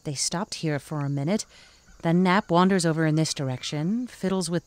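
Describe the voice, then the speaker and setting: A woman's voice: low-pitched, normal speed, close to an everyday voice. A woman playing a shrewd, unflappable senior fugitive with a wry, folksy manner in an audio comedy drama. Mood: shrewd, knowing.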